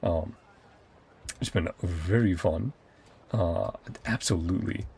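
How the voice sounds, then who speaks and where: A man's voice: sits low, slow, creaky, warm tone, a little hiss or hum. A man casually chatting as a livestream game host, rambling and enthusiastic in his commentary. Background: game music.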